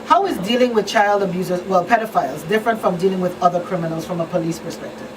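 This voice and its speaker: A woman's voice: on the low side, fast talker. A woman hosting a talk show in a conversational style. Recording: distant mic, clearly noisy room.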